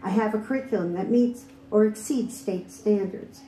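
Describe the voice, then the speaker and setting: Firm woman reading testimony, warm tone. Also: mid-range voice, normal speed. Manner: reads firmly from notes, pointed questions. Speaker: former school district director testifying. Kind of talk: prepared public testimony. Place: hearing room. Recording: echoey room with faint background noise.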